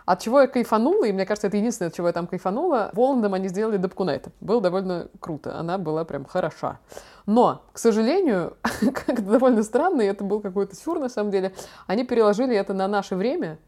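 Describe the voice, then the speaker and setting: A woman's voice: mid-range voice, fast. A young woman co-hosting a casual podcast, chatty and slangy as she tells stories. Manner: chatty, slangy, animated storytelling. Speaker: podcast co-host, daughter talking with her father.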